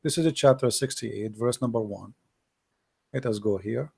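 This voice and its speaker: Brief: male debate host, warm low-key tone, lecturing a caller. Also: normal speed, vocal fry, breathy edge. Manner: questioning, explains patiently, reads passages aloud. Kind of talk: live call-in debate, reading texts aloud.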